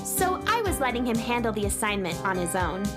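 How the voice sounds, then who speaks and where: Here is an upbeat young-hearted space adventurer telling a children's radio story. Her voice is fairly high and animated, expressive in its storytelling, over clear background music. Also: fairly quick. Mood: wry, confiding.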